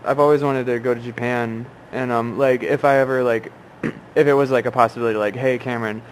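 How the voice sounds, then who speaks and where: A man's voice: mid-range voice, steady tone, brisk, slight background noise, poor audio. Laid-back swearing young man. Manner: casual, swears freely, lots of backchannel agreement. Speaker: podcast co-host. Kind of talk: casual chat.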